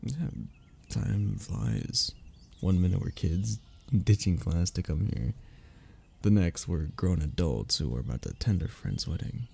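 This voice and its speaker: Man acting a deep, gravelly sentimental young friend. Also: sing-song, unhurried, mellow, full character voice. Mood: wistful, nostalgic. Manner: intimate, conversational, with sighs and chuckles. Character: sentimental, teasing young man reminiscing with childhood best friend. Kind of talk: ASMR roleplay audio drama.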